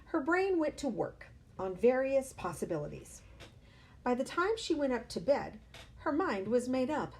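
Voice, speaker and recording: Woman reading a storybook aloud. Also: fairly high pitch. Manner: expressive storytelling. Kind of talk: reading a children's novel aloud.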